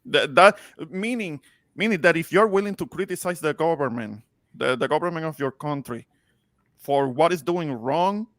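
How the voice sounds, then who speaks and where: A man speaking as a panelist in a casual political discussion, halting and repeating words for emphasis. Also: a little high, lively intonation, fairly bright tone, no room sound, studio quality. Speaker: talk show panelist.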